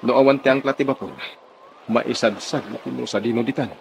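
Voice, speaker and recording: A man's voice: mid-range voice, steady tone, fairly quick, gravelly, slight background noise, close to an everyday voice. An actor playing a weary, anxious ship's crewman in a radio drama, earnest and worried.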